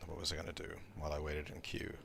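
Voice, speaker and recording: A male streamer with a croaky, tired voice, casually thinking aloud and rambling while playing a game. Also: rumbling low, monotone, racing, background noise. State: tired voice from talking all day. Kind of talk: casual gaming livestream commentary.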